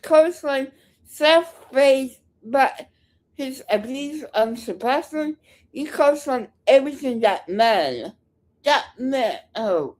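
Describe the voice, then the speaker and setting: A woman with a high voice talks back in slow, curt bursts. She heckles as she reacts, combative and scornful throughout. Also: lively intonation, darkish tone. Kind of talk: unscripted heckling reaction commentary.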